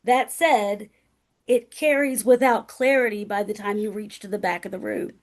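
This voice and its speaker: A woman speaking as a guest presenter, a professor with a loud, carrying voice who talks emphatically, often checking in with "right?". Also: medium pitch, normal speed. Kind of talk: conversational presentation.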